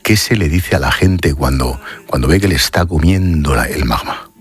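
A man's voice: extremely low pitch, moderate pace, breathy edge, soft background music. A man hosting a radio program, asking direct questions and reading short news narration. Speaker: radio host.

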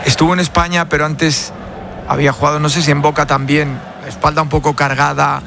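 Rambling former-player co-commentator. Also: male voice, fairly high pitch, little pitch movement, brisk, creaky, noisy background. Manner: rambling, searching for names, fond. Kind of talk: relaxed match analysis.

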